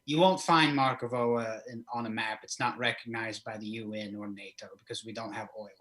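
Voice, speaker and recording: A man's voice: lowish voice, steady tone, a little fast, a bit bright, close to an everyday voice. A man playing a flamboyant old vampire count from Eastern Europe as a comic horror host, rambling hesitantly through an interview in character. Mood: matter-of-fact, rambling.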